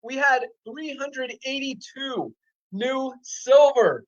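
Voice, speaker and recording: A man's voice: very high voice, takes it slowly. Hyped man on a lo-fi video call. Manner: hyped, repeats figures for emphasis. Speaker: host of a company update call. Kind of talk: upbeat live announcements.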